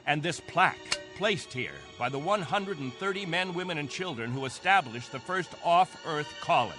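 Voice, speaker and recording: An actor playing a stern, devout captain of a struggling space colony in a radio drama, speaking with solemn, earnest authority. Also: male voice, fairly high pitch, expressive, normal speed, music in the background, natural voice. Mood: impassioned reminder.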